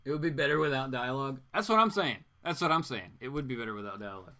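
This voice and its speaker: A man's voice: mid-range voice, animated, quick pace, pushed and bright. A man hosting a comics read-aloud, delivering it dramatically with informal, joking asides. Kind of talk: dramatic comic book reading with casual asides.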